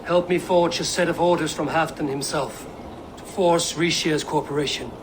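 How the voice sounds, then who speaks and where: An actor playing a bold, blunt Viking warrior in a video game cutscene, terse, plain-spoken and steady. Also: male voice, on the high side, moderate pace, close to an everyday voice. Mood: businesslike. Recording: clearly noisy ambient background.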